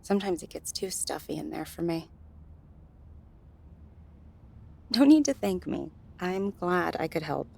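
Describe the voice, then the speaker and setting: A woman's voice: on the low side, expressive, normal speed, gravelly, warm tone. A woman playing a friendly, flirtatious gallery worker in an intimate audio roleplay, soothing and teasing as she talks straight to the listener. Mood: friendly, relaxed.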